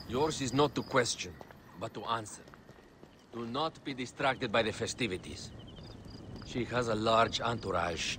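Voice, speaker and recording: An actor playing a composed, courtly royal adviser in an ancient Egyptian video game drama, speaking formally and deliberately. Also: male voice, mid-range voice, noisy background, natural voice.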